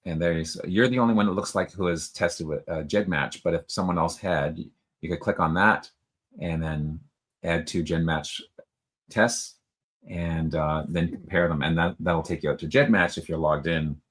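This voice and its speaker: A man calmly walking through software features on a call, explaining step by step. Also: deep voice. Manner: calm, explanatory, slow in spots. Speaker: software demonstrator. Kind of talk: casual screen-share walkthrough.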